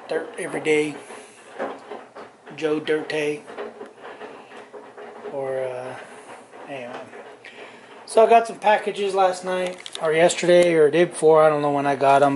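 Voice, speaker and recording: A man's voice: fairly high pitch, slight background noise. A tired man in his forties chatting casually to camera in his home garage, rambling with lots of ums and self-corrections. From Southern California. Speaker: garage vlogger. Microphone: on-camera mic, camera at arm's length and on bench.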